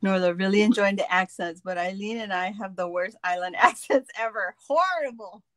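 A woman's voice: medium pitch, moderate pace, dry recording. A woman hosting a live craft session over a video call, chatting playfully and laughing often. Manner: giggly, playful, laughs a lot. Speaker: craft show host. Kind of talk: casual chat while crafting. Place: home craft table, indoors.

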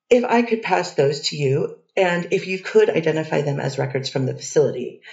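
A woman's voice: sits low, darkish tone, muffled recording. A woman attorney questioning a witness in a courtroom, hesitant and hedging, with many restarts. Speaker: defense attorney. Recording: distant echoey courtroom audio.

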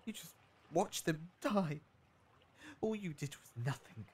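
An actor playing a bitter, secretive captive in an audio drama, sardonic, drawn-out and theatrical. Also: medium pitch, expressive, a little mellow, husky. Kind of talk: fan audio drama acting.

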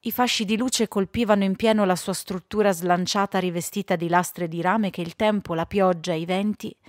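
A woman's voice: medium pitch, fairly even intonation, normal speed, dry recording, clean studio sound. A woman reading a children's novel as scripted audiobook narration.

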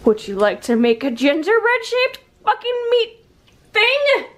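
A high-pitched, sarcastic villain played by a young woman in a homemade cosplay skit. The delivery is indignant and full of swearing. A little background noise sits behind the voice in a home kitchen recording. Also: big pitch swings, unhurried, exaggerated voice. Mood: outraged. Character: foul-mouthed, exasperated male villain, a killer father in hell. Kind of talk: improvised cosplay role-play skit. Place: home kitchen and dining room. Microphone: handheld camera.

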